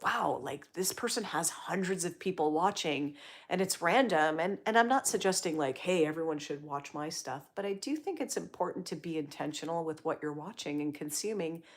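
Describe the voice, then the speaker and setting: A woman's voice: low voice, lively intonation, normal speed. A woman hosting a casual livestream, chatting upbeat and encouraging while she reads viewer comments aloud. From Canada. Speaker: livestream host.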